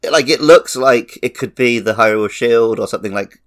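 A man's voice: mid-range voice, a little fast. A man co-hosting a podcast, casually and enthusiastically discussing films and games in fan detail. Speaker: podcast co-host. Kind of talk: casual film and game discussion.

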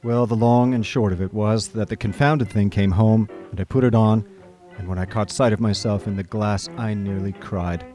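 A man playing a chatty, idle young gentleman who narrates a comic radio drama in breezy, old-fashioned upper-class phrasing. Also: on the low side, steady tone, moderate pace, faint music behind, lightly acted. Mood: rueful, chatty.

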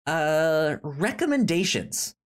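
Podcast co-host, male-range voice, unhurried with animated pitch, repeats words and stumbles on syllables in casual review chat.

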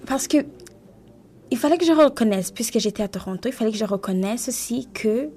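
A woman's voice: on the high side, lively intonation, brisk, warm tone. A woman in her twenties from Rwanda, introducing herself conversationally as a studio panel guest. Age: 20s. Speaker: panel guest, writer and event planner.

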